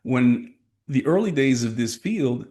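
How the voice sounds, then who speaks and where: A man's voice: mid-range voice, animated, unhurried, mellow. A middle-aged man from Texas, a sales coach giving emphatic, motivational answers in a podcast interview. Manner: emphatic, motivational, asks rhetorical questions.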